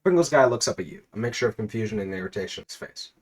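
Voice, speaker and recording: A man reading a silly, absurd story aloud in a deadpan narrating voice, now and then cracking up. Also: on the low side, racing. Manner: deadpan narration, breaking into laughter.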